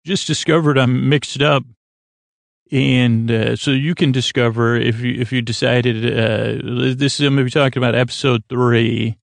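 A man hosting a bedtime podcast in a creaky voice, meandering and halting through tangents and self-corrections in a lulling, reassuring way. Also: mid-range voice, fairly even intonation, dry recording. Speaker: podcast host. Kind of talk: rambling bedtime sleep podcast monologue.

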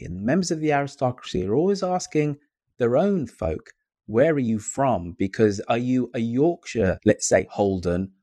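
A man's voice: medium pitch, darkish tone, no echo, studio quality. A man hosting a live podcast discussion, asking swooping, theatrical rhetorical questions. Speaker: podcast host. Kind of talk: live audio-room discussion.

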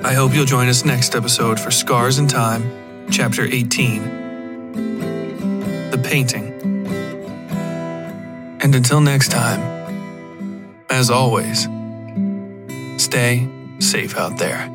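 A man narrating scripted dark fiction as a podcast host, with music behind him. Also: medium pitch, steady tone, slow, pauses often.

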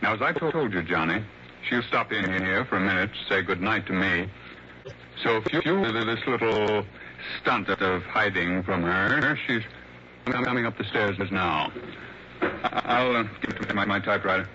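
An actor playing an urbane, quietly scheming male writer in a 1960s radio drama, speaking in a hesitant, teasing, genteel way. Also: fairly low pitch, moderate pace. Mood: intrigued, conspiratorial. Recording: dull, faintly noisy old radio broadcast.

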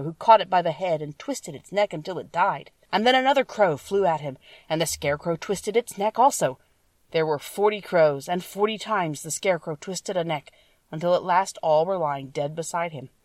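A woman's voice: lowish voice, moderate pace, no room sound, distant mic. A woman reading a children's story aloud as a scripted audiobook narration.

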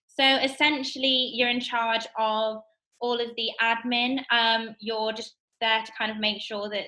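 Woman, twenties, bright tone, slightly high voice, chatty guest. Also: little pitch movement, low-quality recording. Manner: bright, quick, lots of fillers like "kind of" and "like". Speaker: guest, assistant buyer. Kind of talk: casual interview.

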